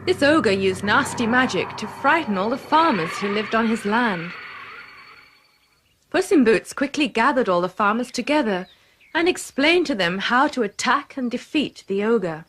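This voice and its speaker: A woman's voice: a little high, animated, moderate pace, a bit bright, slight background noise. A woman narrator reading a scripted children's fairy tale in a storybook style. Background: music.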